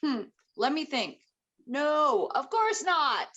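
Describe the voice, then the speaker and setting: A woman's voice: high voice, sing-song, takes it slowly, fairly bright tone, muffled recording, heavily acted. A woman playing a firm, worried, bossy mother in a screenplay table read. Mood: alarmed, stern.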